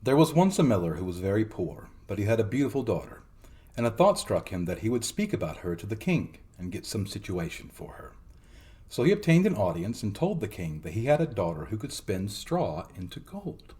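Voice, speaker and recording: A man narrating a fairy tale as a storytelling host, in a theatrical, expressive dramatic reading. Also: lowish voice, fairly quick.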